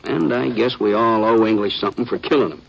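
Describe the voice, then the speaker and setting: A weary, scornful deputy from a radio western. The man's voice is creaky and on the low side, with blunt folksy phrasing. A faint noise sits on the old recording. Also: fast, muffled recording. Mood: wry, reflective. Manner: folksy, blunt, sarcastic drawling. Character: gruff, weary small-town western deputy, scornful of outlaws. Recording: noisy vintage radio recording.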